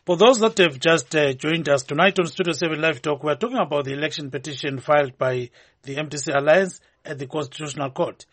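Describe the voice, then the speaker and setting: A man's voice: on the high side, fast, fairly bright tone. A man with a Zimbabwean accent co-hosting a live talk show, presenting and reading out messages in English and Ndebele. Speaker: radio host.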